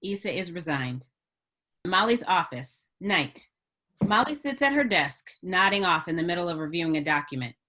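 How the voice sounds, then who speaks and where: A woman's voice: on the low side, lively intonation, moderate pace, bright, forceful tone. A woman reading stage directions as scripted narration for a table read.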